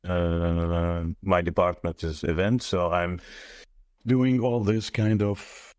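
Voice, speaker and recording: A man talks casually as an interview guest. His voice is low and smooth with a dry sound, and his comes haltingly, padded with "you know". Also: fairly even intonation, a little mellow, no echo. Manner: halting non-native English with frequent "you know". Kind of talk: casual chat. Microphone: mobile device.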